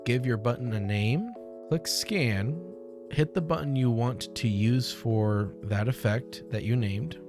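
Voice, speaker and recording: A young man hosting a casual software tutorial, speaking informally into a close desk microphone. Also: medium pitch, slow. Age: young adult. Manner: informal, conversational. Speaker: tutorial host. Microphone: close desk microphone on boom arm. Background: music.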